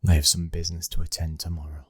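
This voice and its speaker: A British man playing a commanding young mafia heir in an intimate ASMR roleplay, speaking in a measured, breathy voice that often drops toward a whisper. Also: deep voice, monotone, darkish tone, dry recording, clean studio sound. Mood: firm, serious. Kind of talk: ASMR boyfriend roleplay, scripted acting.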